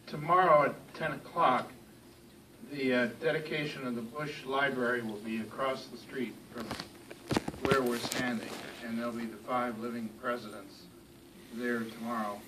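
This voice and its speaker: A man's voice: medium pitch, steady tone, normal speed. A man in an audience putting an earnest question to a speaker. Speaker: audience member asking a question. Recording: far from mic, clearly noisy.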